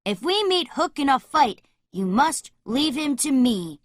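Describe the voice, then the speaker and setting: A woman voicing a bold, confident boy adventurer who leads other children in an animated children's story, speaking in a commanding, dramatic way. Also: high-pitched, unhurried, dry recording, studio quality.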